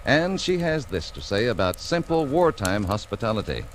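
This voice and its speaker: A man's voice: mid-range voice, big pitch swings, moderate pace. A man with an American accent reading sponsor copy as a 1940s radio announcer. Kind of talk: 1940s radio advertising read. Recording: noisy 1940s radio recording.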